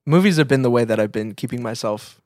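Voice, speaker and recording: Male co-host, average pitch, piecing thoughts together aloud in relaxed podcast conversation, close and dry. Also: fast talker, no echo. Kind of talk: casual podcast chat.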